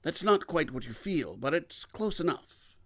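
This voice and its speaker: A man playing a secretive, commanding, guilt-burdened mentor in an audio drama, speaking deliberately and cryptically. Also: on the high side, sing-song, exaggerated voice. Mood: cryptic, assured. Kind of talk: audio fiction podcast acting.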